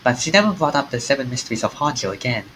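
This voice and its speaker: Animated man reading a game aloud. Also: mid-range voice, rapid-fire, a little hiss or hum, rough recording. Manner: animated, acts out the lines. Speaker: streamer.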